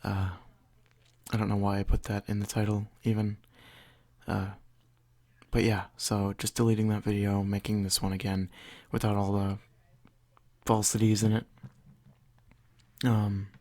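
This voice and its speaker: A man's voice: on the low side, little pitch movement, a little slow, long pauses, darkish tone, no echo. A young man chatting casually and unscripted to his viewers, in a hesitant, apologetic, rambling way. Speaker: ASMR video creator introducing a roleplay.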